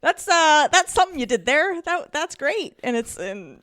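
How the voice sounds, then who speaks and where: A woman's voice: sits high, very animated, moderate pace, fairly bright tone, dry recording. Close, dry-miked woman chatting casually. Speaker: podcast guest, nurse. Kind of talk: casual chat.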